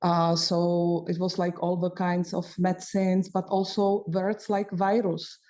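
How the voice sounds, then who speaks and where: Woman, panel moderator, flat low voice, mellow, measured. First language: Czech. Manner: measured, steering the discussion. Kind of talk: panel discussion.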